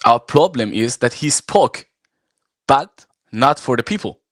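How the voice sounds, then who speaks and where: A man from Iran whose first language is Farsi, talking casually and haltingly on a video call, full of fillers and restarts. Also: medium pitch, animated, no echo, clean studio sound. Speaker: guest, arm wrestler and referee. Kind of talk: casual live-stream chat. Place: at home, arm wrestling table behind.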